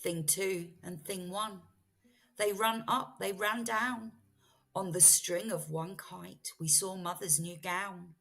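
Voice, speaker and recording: A woman reading a children's storybook aloud as a teacher, with expressive, dramatic phrasing. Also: lowish voice, slow, creaky. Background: music.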